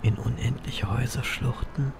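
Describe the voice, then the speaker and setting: An actor playing a brooding, wry male grim reaper who narrates his own story in an audio drama, self-mocking and breathy in places. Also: mid-range voice, steady tone, moderate pace, over loud music, natural voice. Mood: weary, brooding.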